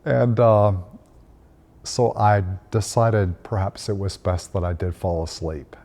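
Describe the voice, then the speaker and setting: Low mellow guy, a priest from tennessee, slow gentle christmas stories, lots of uh's. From Tennessee, United States. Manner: gentle and unhurried, frequent "uh" fillers. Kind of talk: reflective Christmas storytelling message.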